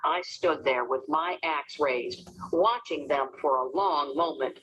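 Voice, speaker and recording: A woman narrating a storybook as an expressive, dramatic read-aloud for children. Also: low voice, unhurried, breathy edge, poor audio.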